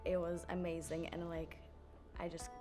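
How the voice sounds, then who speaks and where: A female student telling stories casually at a roundtable, excitable and full of "like". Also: on the low side, normal speed, music in the background. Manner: excitable storytelling, many "like"s.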